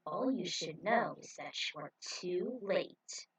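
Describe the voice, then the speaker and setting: A sneering, cruel female villain, as a woman reader puts her on. She drags out her taunts slowly and leans on them with contempt. Her lines have a storybook-villain feel. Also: lowish voice, animated, breathy edge, full character voice, rough recording.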